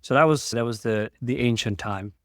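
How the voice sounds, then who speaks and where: Halting man from Sri Lanka. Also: medium pitch, no room sound, clean studio sound. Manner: halting, many restarts and fillers. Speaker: guest, tech founder. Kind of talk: casual interview storytelling.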